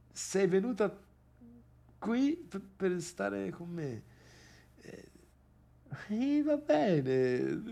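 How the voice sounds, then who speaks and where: A man's voice: fairly high pitch, gravelly, a little mellow, full character voice. An actor playing an awkward, needy, wounded man in a theatrical monologue, with a Tuscan accent and hesitant, repetitive phrasing. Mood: tentative, intimate. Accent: Tuscan Italian. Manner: hesitant, stammering repetitions and questions. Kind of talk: theatrical monologue, halting one-sided dialogue.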